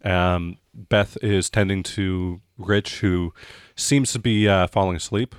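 A man with a fairly low voice acts as game master, describing scenes off the cuff and haltingly. The sound is dry and close. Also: fairly even intonation, clear, resonant tone, no echo. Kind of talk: improvised descriptive game narration.

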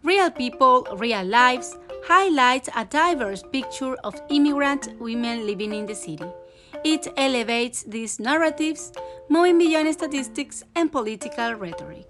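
A woman's voice: high-pitched, expressive, unhurried. Woman narrating a podcast intro over music. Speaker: podcast host. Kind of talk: scripted narration. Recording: music playing behind the voice.

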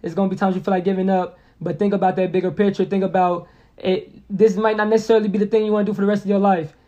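A young man in his twenties, talking quickly and earnestly with a high, lively voice. He keeps circling back to repeat his point and leans on slang. The sound is a little dull and lo-fi. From Indianapolis. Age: 20s. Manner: earnest, motivational, repeats phrases with lots of slang. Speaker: podcast guest. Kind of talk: casual podcast conversation.

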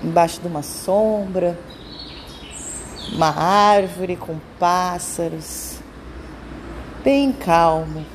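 Brazilian woman guiding meditation, background noise. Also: fairly low pitch. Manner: calm, soothing instruction with drawn-out phrases. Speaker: meditation guide. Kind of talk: guided meditation.

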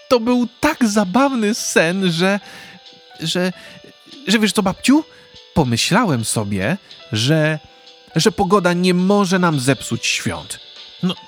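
An eager little boy who bubbles over with excitement, his voice jumping high and bright as a man puts him on. He swings widely in pitch. Soft guitar music plays behind him. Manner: high, bouncy, exclaiming.

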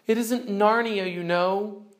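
An earnest young girl in a storybook, acted by a man reading aloud. The voice is high, tender and pleading, full of feeling. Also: a little slow. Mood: despairing. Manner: pleading, emotional.

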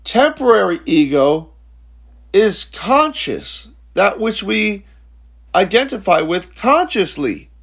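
A man in his forties giving a slow, reflective spiritual teaching talk, halting and repeating words, often checking in with "okay?". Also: high voice, very animated, vocal fry, fairly bright tone, husky. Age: 40s. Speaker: spiritual speaker.

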